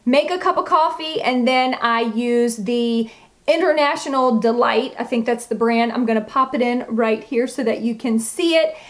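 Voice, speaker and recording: A woman's voice: a little high, moderate pace. A woman chatting casually as a beauty vlogger, gushing and emphatic, with a slightly froggy voice. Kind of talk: casual chatty product review to camera.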